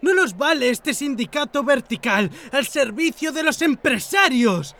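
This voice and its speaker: Militant worker at an assembly, very high sharp voice, Spanish accent. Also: male voice, lively intonation, unhurried, heavily acted. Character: militant male worker demanding union freedom.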